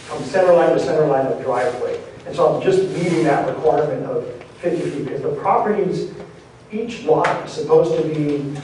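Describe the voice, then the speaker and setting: Mellow man presenting in echoey hall. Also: on the high side. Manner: explanatory, patient, hedging with "kind of". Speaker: developer presenting a housing plan. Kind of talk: public meeting presentation. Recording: echoey hall from far off, faint noise.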